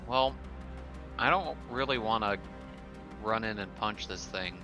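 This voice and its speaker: A man with a fairly low voice talks as a tabletop player. He sounds tentative, thinking his choices through aloud. Music is clearly audible behind him. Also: measured pace, highs cut off. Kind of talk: casual game-table chat.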